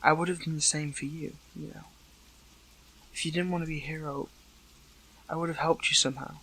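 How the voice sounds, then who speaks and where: A man playing a weakened captive young superhero in an intimate audio roleplay, hurt but earnest, speaking haltingly with emotion. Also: a little high, steady tone, sharp tone, a little hiss or hum, rough recording.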